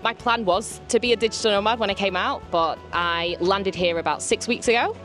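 A woman's voice: medium pitch, a little fast, fairly bright tone, soft background music. A young woman from England, chattily telling a story into a handheld mic in a café. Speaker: interviewee, boat party manager. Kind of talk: casual interview. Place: indoor beach café.

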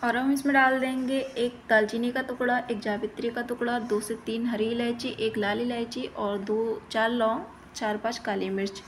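Lady doing a home cooking voiceover, flat delivery, kinda high voice, noticeable background noise. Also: moderate pace, few pauses. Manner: instructional, plain-spoken. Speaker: cooking channel host. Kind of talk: step-by-step recipe voice-over narration.

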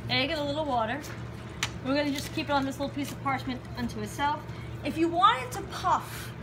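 Chatty woman chef in a kitchen, high voice, loud noisy background. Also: lively intonation, fairly quick. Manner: chatty, enthusiastic, repeats words. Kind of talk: live cooking demonstration. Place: home-style kitchen, indoors.

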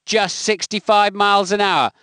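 A man's voice: sits high, fairly even intonation, normal speed, sharp tone, smooth, no room sound, clean studio sound. A man delivering scripted motor racing commentary for a video game in clipped, emphatic phrases. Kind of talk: scripted race commentary voice clips.

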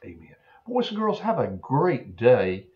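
An older man in his seventies speaks slowly, with his low voice rising and falling in lively swings. He has the gentle, earnest delivery of a preacher addressing young children. The sound is a little dull, as from a webcam in a small office. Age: 70s. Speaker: preacher telling Bible stories to children. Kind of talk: children's Bible story and prayer. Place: small home office, indoors. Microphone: computer webcam at desk distance.

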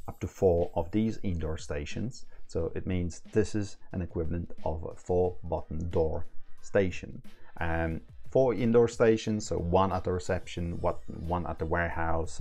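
A man's voice: sits low, creaky, darkish tone. A man in his thirties giving a casual, informal, step-by-step tutorial as a product demonstrator. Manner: informal, hands-on, step-by-step explaining. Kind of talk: casual tutorial, explaining setup steps.

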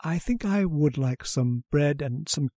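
Woman voicing a stern pious man, very low husky voice, dry close sound, clipped and severe, radio drama. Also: expressive, measured pace, warm tone, no room sound, heavily acted. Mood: cold, restrained. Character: stern pious male lodger, cold and strange. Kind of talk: old-time radio drama acting.

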